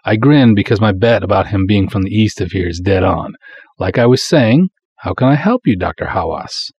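A man's voice: lowish voice, expressive, normal speed, darkish tone, slightly rough, muffled recording. A man playing a proud, folksy small-town cop who narrates his own pulp detective story in breezy, wisecracking, colloquial style. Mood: breezy, wry. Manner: breezy, colloquial, hard-boiled wisecracking delivery. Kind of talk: dramatic first-person pulp story narration.

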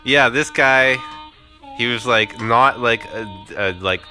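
A man's voice: medium pitch, slow pace, sharp tone, soft background music. A man co-hosting a casual podcast chat, sniffly with a cold, rambling and self-deprecating as he trails off. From Canada. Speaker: podcast co-host. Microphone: studio microphone.

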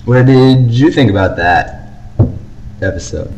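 A man's voice: medium pitch, animated, a little slow, a little mellow, highs cut off. A man co-hosting a podcast, talking casually as he discusses and gives his opinions. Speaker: podcast co-host. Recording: faint background noise.

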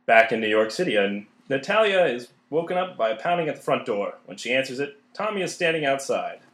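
A man's voice: mid-range voice, very animated, a little fast, fairly bright tone. A man narrating a scripted radio play. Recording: roomy, echoing recording.

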